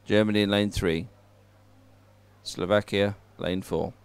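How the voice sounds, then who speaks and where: A man's voice: lowish voice, steady tone, measured pace, vocal fry, clear, resonant tone. A man giving live race commentary in an informal, conversational and encouraging way. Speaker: sports commentator.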